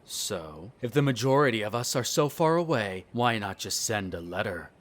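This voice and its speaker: A man's voice: on the low side, very animated, normal speed. A man playing a smug, disdainful vampire noble in a scripted roleplay audio drama, speaking formally with sardonic condescension. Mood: suspicious, explaining.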